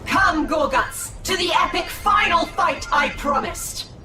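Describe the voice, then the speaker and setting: A solemn alien prophetess, speaking slowly in a high, imperious voice. She sounds cryptic and portentous, echoing from a distance under loud cinematic game music. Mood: commanding. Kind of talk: video game cinematic acting.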